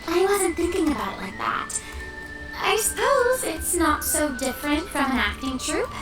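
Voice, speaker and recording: A bright-pitched, animated young woman, theatrical and teasing. The voice is a bit far off in an echoey game mix with music behind. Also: full character voice. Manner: lively, teasing, fond of drama. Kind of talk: game voice acting.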